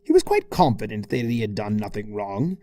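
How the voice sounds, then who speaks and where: A man's voice: lowish voice, big pitch swings, normal speed, mellow, dry recording. A man reading a scripted comic story as narrator, in an arch, theatrical, mock-formal manner. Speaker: fan fiction reader.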